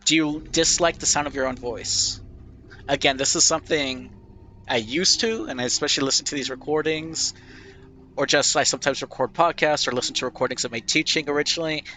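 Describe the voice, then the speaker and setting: A nasal-voiced young man casually reflecting out loud on personal questions while playing a game, thoughtful and self-deprecating. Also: on the high side, steady tone, fairly quick, a little hiss or hum.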